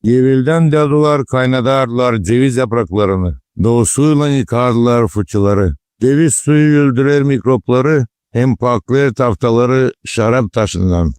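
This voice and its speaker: A man's voice: mid-range voice, a little slow, hardly any pauses, a little mellow, clean tone, no echo, close to an everyday voice. A man voicing a wise, folksy village grandfather in a cartoon, explaining old ways. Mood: instructive. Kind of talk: cartoon character dialogue. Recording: music behind the dialogue.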